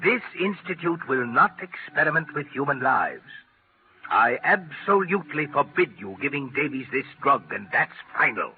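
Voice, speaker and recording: An actor playing a stern, scornful head of a research institute in a 1940s radio drama. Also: male voice, medium pitch, very animated, moderate pace. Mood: scornful, final. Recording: noisy, tinny 1940s radio recording.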